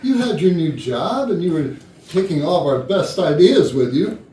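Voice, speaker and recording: A woman's voice: lowish voice, big pitch swings, normal speed, warm tone. A woman playing a polite, evasive, formal senior company woman in a staged murder mystery comedy. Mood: dismayed. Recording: echoey room, distant mic, faint noise.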